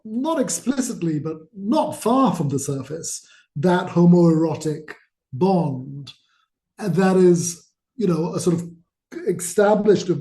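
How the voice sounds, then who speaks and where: Mellow-voiced man in a roomy space. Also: fairly high pitch. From Malaysia. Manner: enthusiastic, storytelling. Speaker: author, interview guest. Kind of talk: conversational interview. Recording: roomy echo.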